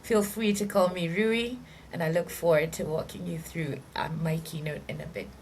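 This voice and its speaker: A woman keynote speaker giving the audience a friendly, brisk greeting. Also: lowish voice, steady tone, a little hiss or hum. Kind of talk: brief webinar greeting. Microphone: video call.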